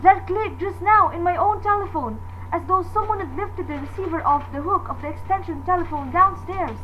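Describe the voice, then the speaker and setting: A woman's voice: very high voice, moderate pace, highs cut off, a little hiss or hum. A woman playing a panicky, demanding, self-pitying invalid wife in a radio thriller, fretful and imperious. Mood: alarmed. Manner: imperious, fretful, breathless pleading.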